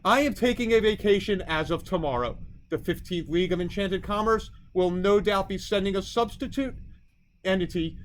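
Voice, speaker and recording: A man's voice: high voice, a little hiss or hum, low-quality recording. A man voicing an officious, prim female tooth fairy bureaucrat in a storybook, formal and stiff. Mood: grim, prim.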